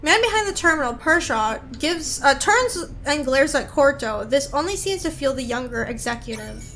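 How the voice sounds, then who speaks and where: A woman streaming a video game, chatty and expressive, switching between reading aloud and casual commentary. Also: high-pitched, moderate pace, slight background noise. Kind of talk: casual playthrough commentary and read-aloud narration. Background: game music.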